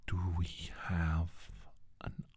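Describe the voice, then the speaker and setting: A man voicing a menacing alien scientist in a soft-spoken ASMR roleplay, breathy and half-whispered, with a pompous, formal, deliberate delivery. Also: low-pitched, completely flat delivery, dry recording, exaggerated voice. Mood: condescending, threatening. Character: menacing male alien scientist bent on world domination.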